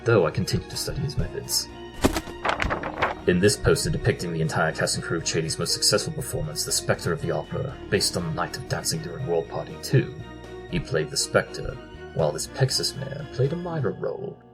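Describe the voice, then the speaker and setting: An actor playing a pompous, analytical pony detective in an audio drama, speaking in formal, precise, lecturing sentences. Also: male voice, low voice, expressive, a little fast, over music. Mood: lecturing, assured. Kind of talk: audio drama acting, deductive exposition.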